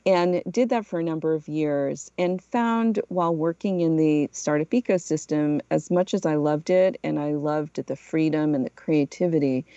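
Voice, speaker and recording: A middle-aged woman from Portugal hosting a solo podcast, telling her story in a measured, reflective way. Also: low voice, creaky, mellow, dry recording, dull audio. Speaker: podcast host.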